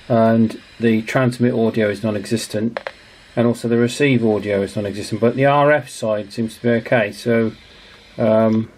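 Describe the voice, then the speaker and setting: A man's voice: fairly low pitch, darkish tone, slight background noise, rough recording. A man giving casual, explanatory repair commentary as a channel host, in an informal, matter-of-fact and somewhat hesitant way.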